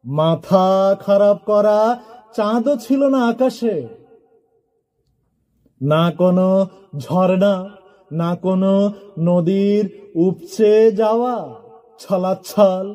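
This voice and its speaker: A man with a high, mellow voice recites poetry solemnly. He moves extremely slowly, setting each phrase down deliberately. Dramatic pauses separate the measured phrases.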